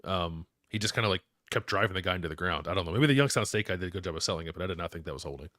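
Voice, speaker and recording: A man's voice: sits low, animated, racing, a bit bright, no room sound, studio quality. A man co-hosting a sports podcast while he has a cold and is losing his voice, talking casually and hesitantly. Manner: hesitant, clears throat. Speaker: podcast co-host.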